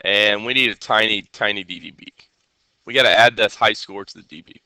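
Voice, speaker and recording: A male teacher with a very bright, forceful voice, nasal from a head cold yet crisply clear. He explains coding to a class in an instructional way and keeps checking that students follow. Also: fairly low pitch, brisk, no echo, low-quality recording. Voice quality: nasal, congested. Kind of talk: live classroom coding lesson.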